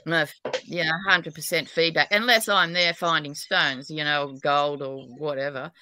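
A woman's voice: low voice, fairly even intonation, moderate pace, pushed and bright, slight background noise. An Australian woman from New South Wales, a psychic and dowser, chatting in a rambling, talkative way and laughing often. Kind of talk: casual podcast chat.

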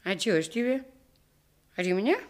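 A woman's voice: mid-range voice, lively intonation, measured pace, natural voice. An actress playing an old Russian village grandmother in a radio play, scolding yet doting, in folksy rural dialect. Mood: indignant scolding. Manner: folksy dialect speech with lamenting exclamations.

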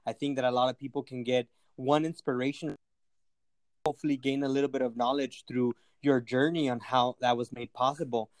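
A male interview host with a mid-pitched voice who sounds encouraging and stumbles now and then. The recording is dry and close. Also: flat delivery, fairly quick, no echo. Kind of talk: interview hosting.

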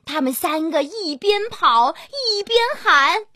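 A woman storyteller narrating a scripted fairy tale for young children in an expressive, drawn-out manner. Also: very high-pitched, dry recording, clean studio sound. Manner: expressive, drawn-out storytelling with gentle sentence particles. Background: children's music.

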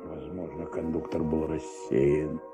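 A man playing a middle-aged, methodical police commissioner who thinks aloud and questions deliberately in a Soviet radio drama. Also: extremely low pitch, flat delivery. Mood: pondering. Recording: old Soviet radio recording, faint music.

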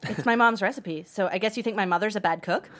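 A woman's voice: mid-range voice, quick pace, fairly bright tone, close to an everyday voice. A woman acting an anxious, cheerfully manipulative girlfriend in a comedy table read, chatty and overeager. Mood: needy, pushy.

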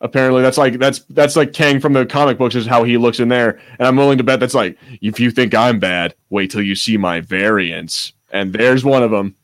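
A man's voice: mid-range voice, fairly quick, hardly any pauses. A man co-hosting a podcast in casual, unscripted chat, rambling, searching for words and breaking off mid-thought, with some swearing. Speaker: podcast co-host.

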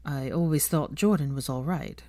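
A woman's voice: low-pitched, normal speed, warm tone, no room sound. A woman voicing a haughty young wizard man in a story reading, scoffing and dryly sardonic. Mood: grudging irritation. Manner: scoffing, dry.

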